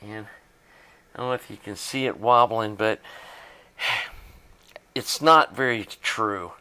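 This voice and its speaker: A man's voice: lowish voice, slow, sharp tone, clean tone. A man giving a casual, unscripted narration of a repair, thinking aloud in a plainspoken way as he works. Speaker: hobbyist demonstrating a tape deck repair.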